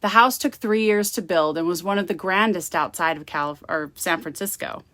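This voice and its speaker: Female author-narrator for gothic romance, voice a little low, very dry sound. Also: normal speed, a bit bright, dry recording. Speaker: author reading aloud.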